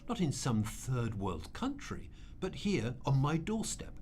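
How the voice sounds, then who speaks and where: Man playing an impassioned, guilt-ridden rich man, swinging pitch. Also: very animated, unhurried, a little mellow, slight background noise. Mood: anguished, defiant. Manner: earnest, emphatic, pleading. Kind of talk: radio drama acting.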